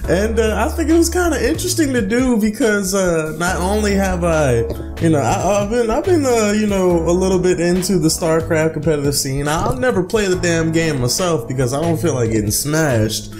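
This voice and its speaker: A man's voice: high-pitched, animated, moderate pace. A man hosting a casual let's play, reading visual novel text aloud and talking in an informal, slangy way. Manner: informal, slangy asides between read-out passages. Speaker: gaming video host. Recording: music playing behind the voice. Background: music.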